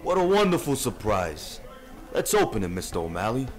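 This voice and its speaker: A man's voice: medium pitch, very animated, moderate pace, lightly acted. A man playing a fat, jovially menacing gangster boss in an old-time radio drama. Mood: pleased. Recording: party crowd and music behind.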